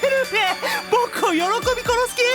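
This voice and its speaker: A man playing an anxious, excitable young otaku demon brother in an anime-style audio drama, theatrical, moving between awkward muttering and gushing squeals. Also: very high voice, brisk, loud music behind the voice. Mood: ecstatic gushing.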